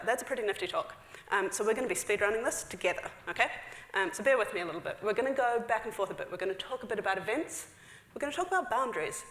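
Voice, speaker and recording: Woman, 30s, conference speaker, chatty with lots of ums, mid pitch, roomy hall sound. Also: fairly bright tone. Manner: chatty, frequent fillers, quick asides with laughs. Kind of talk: technical conference talk. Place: large dark theater stage. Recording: echoey hall.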